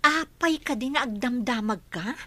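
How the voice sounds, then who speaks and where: A woman's voice: a little high, animated, normal speed, sharp tone, no room sound. A woman with a Filipino Ilocano accent playing an imperious, scheming woman in an audio drama. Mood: impatient, demanding. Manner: imperious, impatient, demanding. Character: scheming, bossy woman plotting against a relative.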